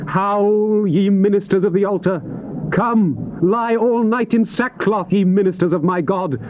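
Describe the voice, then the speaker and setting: An actor playing a fervent Old Testament prophet in a dramatized scripture recording, declaiming solemnly with drawn-out emphasis. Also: male voice, high-pitched. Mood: urgent, exhorting. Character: fervent male Old Testament prophet crying lament and warning. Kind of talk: dramatized scripture recitation, classical stage declamation. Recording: dull hissy old cassette tape recording.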